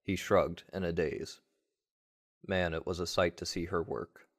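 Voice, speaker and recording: Low voiced guy narrating a story, kinda wry, first person. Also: level tone, a little slow.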